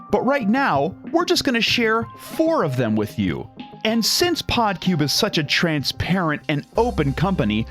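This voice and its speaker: Man playing a chirpy, high-pitched corporate spokesman. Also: a bit bright. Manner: chirpy, earnest, over-enthusiastic. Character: upbeat, awkwardly cheerful corporate community outreach man. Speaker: company spokesperson hosting customer testimonials. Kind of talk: scripted comic corporate podcast announcement.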